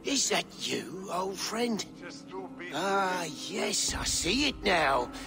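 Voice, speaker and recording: Gravelly old deposed king, proud and theatrical, very slow, voice leaping up and down, game cutscene. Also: high voice, very animated, music in the background, heavily acted. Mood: mocking. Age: older. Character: deposed proud old male king, mocking then begging. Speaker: game character.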